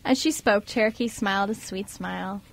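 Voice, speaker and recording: A woman's voice: mid-range voice, moderate pace, creaky. A woman reading scripted narration as the narrator of an amateur radio drama.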